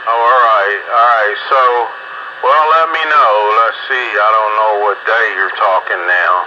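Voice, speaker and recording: A man's voice: fairly low pitch, moderate pace. An American man talking casually and easily over amateur radio, in a colloquial way. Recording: muffled, noisy ham radio transmission.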